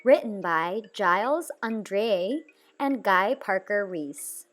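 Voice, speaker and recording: A woman narrating a children's storybook aloud in an expressive, deliberate storytelling manner. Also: mid-range voice. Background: soft music.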